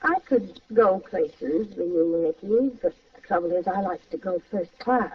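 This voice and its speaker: Scheming radio femme fatale, muffled. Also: female voice, lowish voice, unhurried, breathy edge. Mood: coy, scheming. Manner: coy, theatrical. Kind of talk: old-time radio crime acting. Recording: muffled old radio recording, distant mic.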